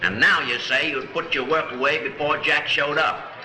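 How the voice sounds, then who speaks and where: An actor playing a shrewd, blunt small-town lawman in a 1930s film, deliberate and dryly sarcastic as he probes and accuses. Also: a little high, fairly even intonation, bright, forceful tone. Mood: probing, sardonic. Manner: deliberate, probing, with dry sarcasm. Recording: roomy 1930s film soundtrack, music underneath.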